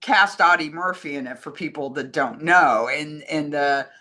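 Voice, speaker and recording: A woman's voice: sits low, normal speed, bright, forceful tone. A woman co-hosting a casual film chat, speaking in an opinionated, digressive way. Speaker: co-host.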